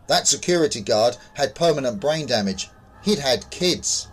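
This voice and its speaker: A man's voice: medium pitch, animated, moderate pace, husky. A man reading scripted horror fiction as a dramatic story narrator.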